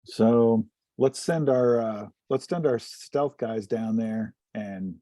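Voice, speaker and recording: A man's voice: mid-range voice, takes it slowly, mellow, no echo, clean studio sound. A man chatting casually as a player in a tabletop roleplaying game.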